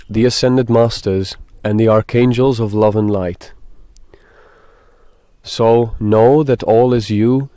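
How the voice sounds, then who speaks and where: Guy doing guided meditation, really flat delivery, dry close sound, halting phrases. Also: on the low side, clear, resonant tone, no room sound.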